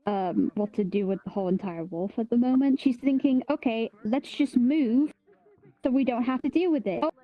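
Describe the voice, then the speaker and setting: A woman's voice: a little high, normal speed. A woman rambling casually and chattily through explanations in a VR roleplay game's voice chat. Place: voice chat in an online virtual world. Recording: slightly dull lo-fi voice chat.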